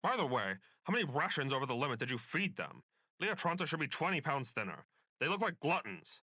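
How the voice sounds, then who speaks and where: A man acts out a domineering bureaucrat of a dystopian regime in a radio drama. His delivery is bright and forceful, swinging widely in pitch as he lectures with pompous scorn. The sound is dry and close. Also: sing-song, a little fast, dry recording, exaggerated voice. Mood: dismissive. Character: pompous, bigoted state censor bureaucrat, domineering older man. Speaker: voice actor. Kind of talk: dystopian radio play acting.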